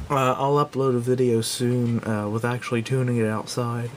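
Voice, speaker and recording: A man giving a casual, unscripted product review in a halting, self-doubting way, recorded indoors at a tabletop on a camera microphone. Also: flat delivery. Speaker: gadget reviewer. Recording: clear background noise with low rumble.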